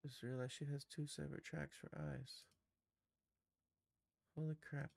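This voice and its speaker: Guy streaming an animation tutorial, creaky voice, thinks out loud, close dry mic. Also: a little high, little pitch movement, normal speed, dry recording. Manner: informal, thinking aloud as he works. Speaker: streamer teaching game-engine animation. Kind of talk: casual live screen-share tutorial.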